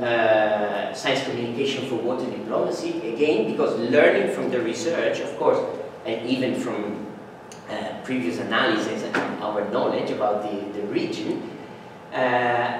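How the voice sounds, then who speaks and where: A man's voice: mid-range voice, slight background noise. A man in his thirties giving an academic lecture in a seminar room, a non-native speaker who hesitates often, with many ums and restarts. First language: Italian. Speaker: guest lecturer. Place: university seminar room in front of a projector screen. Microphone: far room camera, no mic seen. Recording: echoey room from far off.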